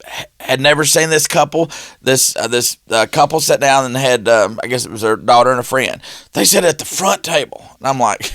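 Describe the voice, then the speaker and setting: A man's voice: mid-range voice, moderate pace, dry recording, studio quality. A man, telling stories in casual chat and acting out the dialogue as he goes. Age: 50s. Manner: animated storyteller who acts out quoted dialogue.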